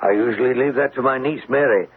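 A man's voice: medium pitch, fast talker, lightly acted. An actor playing a stern, anguished middle-aged father with formal, theatrical delivery in an old radio drama. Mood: troubled. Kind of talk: vintage radio drama acting. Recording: tinny, hissy old radio recording.

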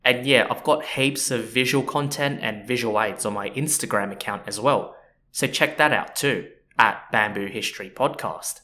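A man's voice: mid-range voice, moderate pace, bright, forceful tone. Man hosting a chatty history podcast. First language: not Japanese. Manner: chatty, explanatory, uses fillers like "you know" and "so yeah". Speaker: podcast host. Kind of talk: casual educational podcast narration.

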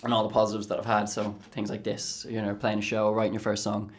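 A man's voice: on the low side, completely flat delivery, quick pace. Casual male musician guest. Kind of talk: casual chat. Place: radio studio.

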